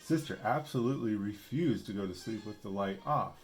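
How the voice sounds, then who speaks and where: A man's voice: fairly low pitch, fairly quick, soft background music. A man reading a picture book aloud with casual asides, explaining simply as if to a young child. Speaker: storybook reader.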